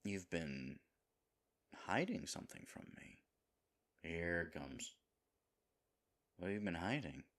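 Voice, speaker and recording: Male actor as goofy devoted boyfriend, low voice, very animated intonation, earnest, hesitant, intimate audio roleplay. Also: takes it slowly, long pauses, full character voice. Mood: worried, earnest. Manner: earnest, rambling, hesitant, dropping into breathy asides.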